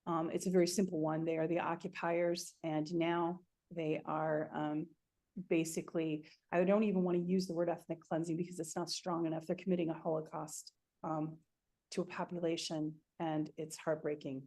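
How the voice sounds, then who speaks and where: A woman hosting an interview, speaking emotionally and haltingly, with frequent restarts. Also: sits low, level tone, warm tone. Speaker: show host.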